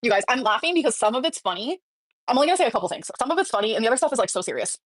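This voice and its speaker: A woman livestreamer with a fairly high voice chats at a quick clip. She is sassy and gossipy, often dropping a thought halfway, and the sound is dry. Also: sing-song, dry recording. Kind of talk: casual livestream chat, answering viewer messages.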